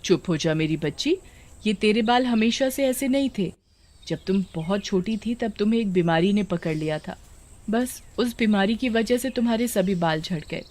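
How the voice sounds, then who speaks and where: A woman voicing a caring, easily amazed village mother with a concerned manner in an animated story. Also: mid-range voice, normal speed, natural voice. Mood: soothing.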